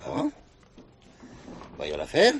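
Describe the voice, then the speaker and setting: An actor playing a touchy, defensive tough crook in a 1970s French radio crime play, with a rough, gravelly voice. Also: male voice, a bass voice, wildly swooping pitch, extremely slow, a bit bright, a little hiss or hum, exaggerated voice.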